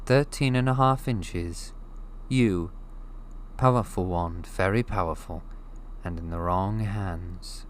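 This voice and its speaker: A man voicing an eerie old male wandmaker who speaks softly and deliberately. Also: deep voice, slight background noise. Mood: musing. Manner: soft, deliberate, drawn-out delivery.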